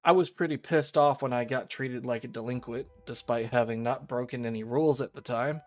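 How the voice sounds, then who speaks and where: A man reading the visual novel narration of a fatalistic, aloof teenage schoolgirl outsider, in a measured storytelling way. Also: mid-range voice, lightly acted. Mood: detached. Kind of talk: scripted visual novel narration, read aloud.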